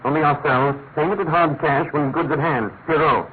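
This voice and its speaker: An actor playing a brilliant, eager English detective in a classic radio drama, theatrical, precise and commanding. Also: male voice, on the high side, normal speed, a little hiss or hum, natural voice. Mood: absorbed, intent. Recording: tinny band-limited old radio recording, music behind.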